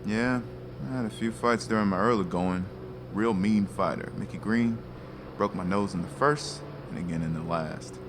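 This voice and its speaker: Man as a gruff aging boxer, lowish voice, blunt, road noise underneath. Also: moderate pace, natural voice. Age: middle-aged. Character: weary over-the-hill male prizefighter. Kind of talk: radio drama acting.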